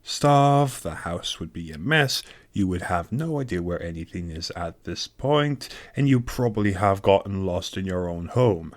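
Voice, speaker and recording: A low, deep voice in a dry recording, that of a devoted older butler acted by a man. He is courteous and fatherly, with formal phrasing and gentle wit, in an audio roleplay. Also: animated, measured pace, few pauses, dry recording. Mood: teasing.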